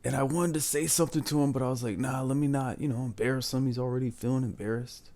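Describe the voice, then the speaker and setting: A man's voice: mid-range voice, steady tone, fast talker, a little mellow. A man in his twenties talking casually and candidly, giving life advice and often adding "man". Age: 20s. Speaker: advice channel host.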